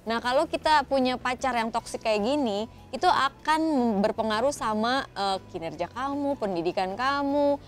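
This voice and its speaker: A woman's voice: a little high, animated, normal speed. A woman hosting a casual advice talk, speaking informally with English words mixed in. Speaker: host reading audience questions.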